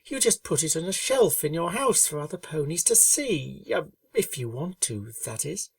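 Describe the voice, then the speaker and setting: A woman voicing a gentle, shy young female pegasus pony in a storybook reading, speaking softly and earnestly. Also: deep voice, big pitch swings, moderate pace, vocal fry, no room sound, far from the mic, exaggerated voice. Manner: soft-spoken, earnest, kindly.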